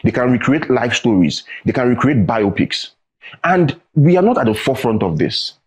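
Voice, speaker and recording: A man's voice: mid-range voice, expressive, normal speed, husky. A man with a Nigerian accent, a studio guest in a TV interview, explaining his points emphatically and repeating phrases for stress. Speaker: tech academy founder, guest.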